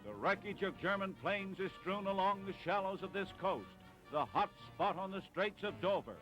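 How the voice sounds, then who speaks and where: A man's voice: high-pitched, animated, moderate pace, fairly bright tone, low-quality recording. A man narrating a 1940s newsreel in dramatic, declamatory, clipped phrases. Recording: vintage 1940s newsreel soundtrack with music.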